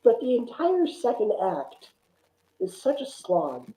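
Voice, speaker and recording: A man's voice: high voice, wildly swooping pitch, slow pace, dark tone. A man on a podcast panel, casually discussing films in an echoey room with muffled sound.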